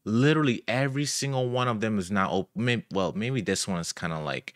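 A man's voice: lowish voice, a little fast, no echo, clean studio sound. A young man streaming from a home room at a desk mic, reacting in loose, incredulous slang. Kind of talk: casual reaction commentary. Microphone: studio mic at desk, wearing headphones.

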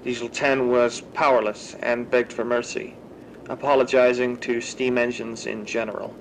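A man's voice: medium pitch, level tone, moderate pace, creaky, a little hiss or hum, close to an everyday voice, low-quality recording. A man playing a researcher who logs grim experiments in a terse, clinical way for a horror audio drama. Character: male researcher coolly logging grim monster experiments. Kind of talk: scripted audio log narration in horror fan drama.

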